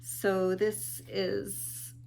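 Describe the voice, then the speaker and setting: A woman talking casually in a show-and-tell vlog, calm and unhurried with small pauses, sounding a bit sniffly. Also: mid-range voice, vocal fry, slight background noise, low-quality recording. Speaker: vlogger.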